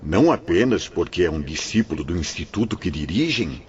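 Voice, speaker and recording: An actor playing a devout spirit mentor who speaks in a courteous, earnest way. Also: male voice, deep voice, sing-song, brisk, a little mellow, slightly rough, exaggerated voice. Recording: dull lo-fi audio with faint noise.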